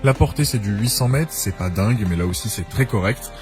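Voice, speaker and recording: A man's voice: fairly low pitch, expressive, a little fast. A man presenting a scripted product review as narration. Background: background music.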